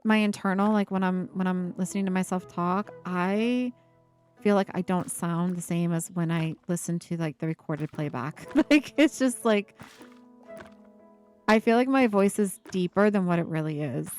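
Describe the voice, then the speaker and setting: A woman over twenty-five chatting casually on a live stream, with a breathy, giggly laugh and lots of fillers. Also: on the low side, normal speed, a little mellow, faint music behind.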